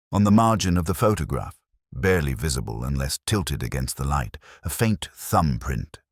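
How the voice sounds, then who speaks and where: A man narrating a literary detective story in the first person, in a measured, wry manner as a pensive police detective. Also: very deep voice, dry recording, clean studio sound.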